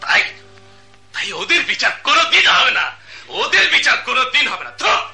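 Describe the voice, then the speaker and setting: Poor accused man, high cracking voice all over the place, faint music under it. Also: very animated, a little fast, creaky, pushed and bright, heavily acted. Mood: defiant. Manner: pleading then bitterly railing, sobbing laughs. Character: poor accused man Kuddus, desperate, defiant. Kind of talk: Bangladeshi state radio drama acting.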